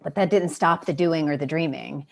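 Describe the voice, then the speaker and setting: A woman's voice: deep voice, moderate pace, vocal fry. A woman playwright giving an impromptu heartfelt speech on a video call, effusive and repeating phrases for emphasis.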